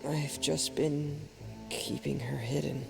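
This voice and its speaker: A shy, soft-spoken young man. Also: a little high, steady tone, takes it slowly, creaky, exaggerated voice. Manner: soft-spoken, hesitant, earnest. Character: quiet, reserved young man, secretive younger brother. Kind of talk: video game voice acting. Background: game music.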